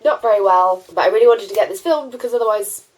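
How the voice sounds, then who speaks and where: A woman's voice: mid-range voice, quick pace, rough recording. A young woman with a congested, stuffy voice from a cold, chatting casually through a makeup tutorial, with lots of ums and likes. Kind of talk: casual how-to chat.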